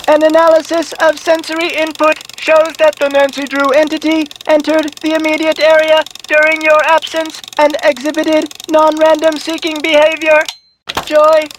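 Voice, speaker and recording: A woman's voice: high-pitched, completely flat delivery, normal speed, a little hiss or hum, close to an everyday voice, rough recording. A woman voicing a quirky, chirpy talking machine in a video game, with stilted, formal, programmed phrasing and playful flourishes. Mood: robotic, matter-of-fact. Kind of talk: video game character voice acting.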